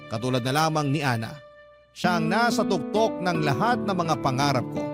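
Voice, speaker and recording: A man's voice: on the high side, natural voice. A man voicing an earnest, lovestruck Filipino narrating his love story in heartfelt, reflective radio style. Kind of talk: scripted first-person radio narration.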